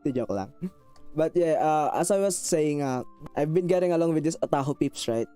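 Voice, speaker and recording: Filipino man, slightly high, smooth voice, thick accent, chatty and self-conscious streamer. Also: lively intonation, normal speed, mellow, faint music behind. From Philippines. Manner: rambling, frequent restarts, self-conscious and apologetic; switches into Tagalog phrases. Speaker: VTuber streamer. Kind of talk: casual chat with live viewers.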